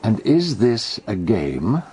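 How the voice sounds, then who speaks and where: An actor playing a wry, erudite older British gentleman in a radio detective drama, speaking in a measured way. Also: fairly low pitch, wildly swooping pitch, breathy edge, heavily acted. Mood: dry, challenging.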